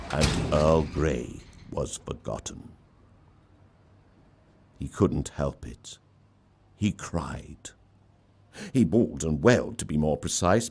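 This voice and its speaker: Male storyteller, low register, unhurried pace, theatrical and expressive narration. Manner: theatrical, dramatic delivery. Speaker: story reader.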